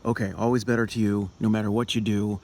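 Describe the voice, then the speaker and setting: A man speaking earnestly and deliberately as a caller to a political office, with halting, emphatic phrasing. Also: on the low side, flat delivery, a little mellow. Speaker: caller, activist in health and healing. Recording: noisy amateur recording of phone call.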